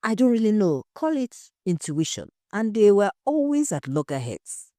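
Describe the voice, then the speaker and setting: Storyteller lady doing an expressive married hostess, emphatic, mellow voice, dry sound. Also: medium pitch, normal speed, no echo, voice pushed up, close to an everyday voice. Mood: defensive, insistent. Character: gracious married hostess, grieving friend, defensive when questioned.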